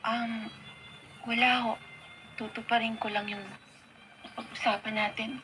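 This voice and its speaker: High-voiced, desperate young daughter. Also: female voice, little pitch movement, unhurried, bright, forceful tone. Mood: resigned, hesitant. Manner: emotional, pleading, respectful. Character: desperate, devoted young daughter of a sick mother. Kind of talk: Filipino radio drama acting. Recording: noisy radio drama recording.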